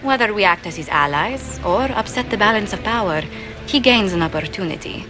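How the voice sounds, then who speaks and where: An actress playing a composed political adviser giving a formal, measured briefing in a video game. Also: female voice, medium pitch, lively intonation, over music, lightly acted, rough recording. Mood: composed, grave.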